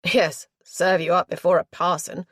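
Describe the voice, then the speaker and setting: A woman voicing a scornful Regency gentleman who speaks in a dry, mocking way. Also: on the low side, fairly quick, no room sound, close to an everyday voice. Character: scornful young Regency gentleman, the aunt's husband.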